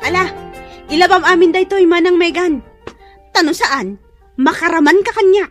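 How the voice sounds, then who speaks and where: A woman's voice: very high-pitched, normal speed, soft background music. A woman with an Ilocano accent acting in a radio drama as a bossy, spiteful young woman, haughty and sneering. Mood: haughty, demanding. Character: bossy, spiteful young woman ordering her in-law around.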